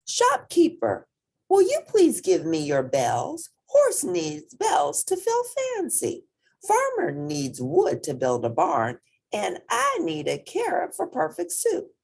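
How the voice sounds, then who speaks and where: Woman voicing an earnest, anxious little storybook mouse, swooping pitch. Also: huge pitch swings, takes it slowly, creaky, darkish tone, full character voice. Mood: pleading. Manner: squeaky, pleading. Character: small earnest hurried mouse, pleading, anxious.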